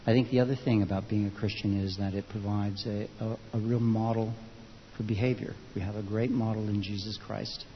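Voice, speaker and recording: A man speaking to a group in a thoughtful, unscripted way, with a measured, halting, reflective manner, recorded in a large reverberant room. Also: lowish voice, little pitch movement. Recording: dull, hissy, echoey hall recording.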